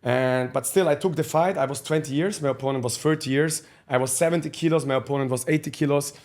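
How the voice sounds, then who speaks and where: A man's voice: fairly high pitch, fast, darkish tone. A man. He is a podcast guest telling his life story candidly in blunt, profane English with loose grammar. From Austria. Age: 30s. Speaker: guest, fight coach.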